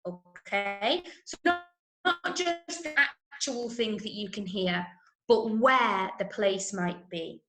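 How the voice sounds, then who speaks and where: A woman with an English accent teaching an interactive online drama workshop, giving clear instructions and encouraging pupils as she repeats their answers. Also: mid-range voice, slow, fairly bright tone, faint music behind. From Manchester, UK. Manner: clear step-by-step instructions to children. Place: room, online video lesson. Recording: echoey room sound.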